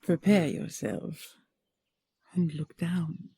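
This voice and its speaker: A woman playing a regal queen and tender lover in a romantic fantasy audio roleplay, breathy and often whispering, with lingering hums and pauses. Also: on the low side, fairly even intonation, mellow, no room sound, studio quality, exaggerated voice. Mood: adoring, intimate.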